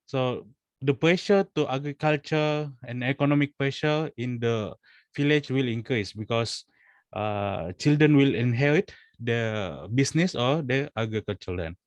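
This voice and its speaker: A young man from Indonesia speaks as a student presenter in an online class. He talks in a halting way, restarting phrases and filling pauses. His voice sits at a middling pitch, recorded dry and close. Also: no echo. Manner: hesitant, many fillers and restarts.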